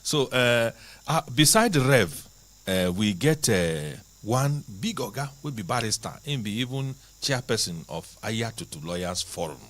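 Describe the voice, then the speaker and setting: A man with a Nigerian accent, hosting a studio talk show in a chatty, animated way. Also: mid-range voice, measured pace.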